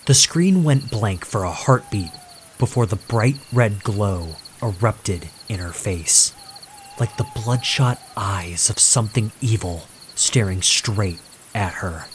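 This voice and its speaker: A man reading a scripted horror story as narrator, dramatic and suspenseful, with deliberate pauses. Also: on the low side, slight background noise.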